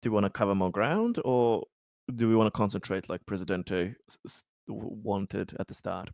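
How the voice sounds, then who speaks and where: A man's voice: fairly low pitch, animated, normal speed, vocal fry, darkish tone, no echo, clean studio sound. A man chatting casually as a player in a roleplaying game, hesitant and repeating his words. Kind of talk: casual tabletop game chat.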